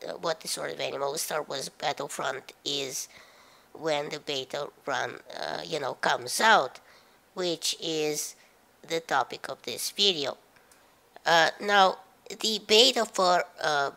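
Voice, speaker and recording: A woman commenting on gaming news, speaking in a halting way with many fillers and sounding stuffy from a head cold. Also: low voice, creaky, pushed and bright. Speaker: gaming YouTuber.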